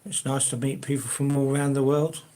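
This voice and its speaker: A man's voice: medium pitch, little pitch movement, brisk, warm tone. An older man from South London with a Cockney-ish accent, chatting casually and hesitantly with viewers as the host of his own live stream. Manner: rambling, hesitant, many fillers and restarts.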